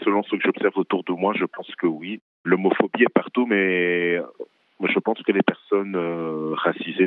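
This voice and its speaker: A man who co-directs a documentary, speaking as a guest in a firm, outspoken and deliberate way. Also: sits low, no echo. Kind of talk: remote interview conversation. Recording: dry tinny phone line.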